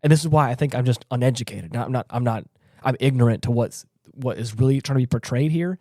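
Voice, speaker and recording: A man's voice: mid-range voice, quick pace, dark tone, dry recording, clean studio sound. A man speaking casually and emphatically as a podcast co-host, arguing his opinion with an exasperated edge.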